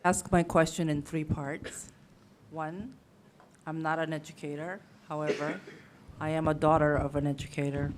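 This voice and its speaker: A woman's voice: sits low, flat delivery, normal speed, darkish tone, slight background noise. A woman in her sixties whose first language is Korean, asking a long, rambling, chatty question from the audience of a lecture. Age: 60s. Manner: rambling, chatty, laughs at herself.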